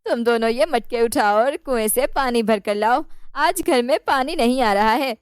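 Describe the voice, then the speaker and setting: A woman's voice: a little high, normal speed, smooth, dry recording, studio quality, lightly acted. A woman voicing a cruel, bossy aunt in a cartoon moral story, scolding and threatening in an imperious way.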